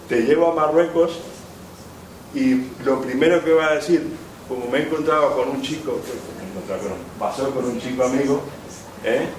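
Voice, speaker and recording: A man giving an academic lecture, rambling and rephrasing with frequent hesitations. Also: a little high. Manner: discursive, rephrases often, many "eh" fillers. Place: lecture hall. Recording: echoey hall, distant mic, background noise.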